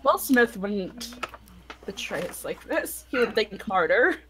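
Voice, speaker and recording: Slow, playful woman with background noise. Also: mid-range voice, expressive, fairly bright tone. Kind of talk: casual joking banter.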